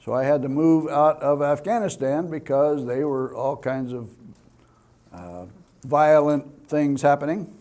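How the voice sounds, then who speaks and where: Older man, slightly high-pitched, public speaking. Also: a little slow, dark tone. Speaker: security expert and speaker. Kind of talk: public training talk with personal anecdotes.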